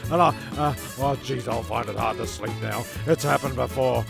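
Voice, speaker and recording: A man's voice: mid-range voice, moderate pace, vocal fry, over music. A man narrating a comedy audio serial as scripted storytelling, breaking off into rambling, sweary asides.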